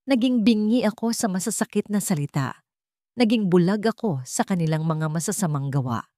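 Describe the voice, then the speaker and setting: A woman voicing a calm, resolute older mother who speaks steadily and firmly, in a dramatized storybook reading. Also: medium pitch, no echo, studio quality, lightly acted. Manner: steady, firm and measured.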